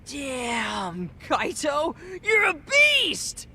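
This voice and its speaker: The scrappy boy heckling gangsters from behind his guardian in a game cutscene, swinging wildly in pitch. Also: wildly swooping pitch, extremely slow, bright, forceful tone, slight background noise, heavily acted. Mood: excited, bragging. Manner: brash, mouthy. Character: scrappy, mouthy young boy, brash and loyal. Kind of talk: dubbed game cutscene acting.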